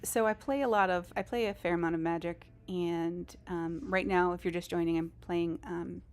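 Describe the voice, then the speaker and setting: A woman's voice: fairly low pitch, fairly even intonation, normal speed, clean tone. A woman live streamer chatting casually over a game, in a rambling, informal and self-deprecating way. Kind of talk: casual gaming stream chat.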